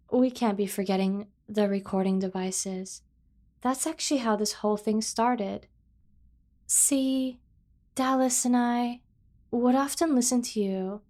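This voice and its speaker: A woman playing a doting, obsessive kidnapper sibling in an ASMR roleplay, coaxing and teasing with drawn-out emphasis. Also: mid-range voice, dark tone, lightly acted. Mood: confiding. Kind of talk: scripted ASMR roleplay acting.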